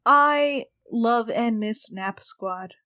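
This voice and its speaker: A woman who plays in a tabletop podcast, answering casually in a laid-back, halting way. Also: fairly high pitch, sharp tone, dry recording. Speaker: podcast cast member, tabletop player. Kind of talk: casual, unhurried chat.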